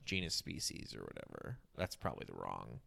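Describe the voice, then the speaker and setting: A person with a deep voice hosting a casual podcast, talking informally and searching for words aloud. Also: very animated, a little fast, creaky, bright, forceful tone, slightly rough. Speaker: podcast host.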